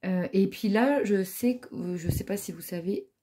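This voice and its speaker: A woman's voice: mid-range voice, normal speed, dark tone, husky. A woman in her forties chatting casually about yarn she found, hesitant with many fillers and restarts. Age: 40s. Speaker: knitting vlogger. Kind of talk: casual product review chat.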